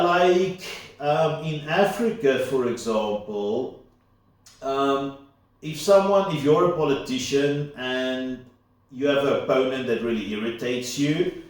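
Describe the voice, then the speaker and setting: A man whose first language is Afrikaans, rambling casually through a solo commentary with lots of fillers and asides. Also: medium pitch. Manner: unscripted, full of fillers and asides. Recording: very echoey room, far from mic.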